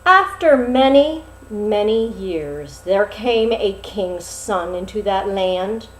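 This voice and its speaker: A woman storyteller reading a fairy tale aloud in an expressive, dramatic way, with deliberate phrasing and pauses. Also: mid-range voice, roomy sound, rough recording.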